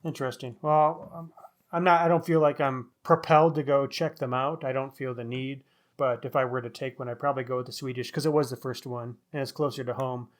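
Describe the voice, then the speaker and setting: A man's voice: medium pitch, steady tone, a little fast. A man co-hosting a book discussion in a casual, musing, conversational way. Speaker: podcast co-host reviewing crime novels.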